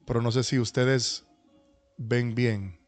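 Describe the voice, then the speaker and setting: Man, middle-aged pastor, medium pitch, humble, courteous, deliberate, introductory teaching talk. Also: steady tone, faint music behind. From Dominican Republic and New York. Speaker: pastor and conference speaker. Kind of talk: introductory talk at an online church leadership class. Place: online video call.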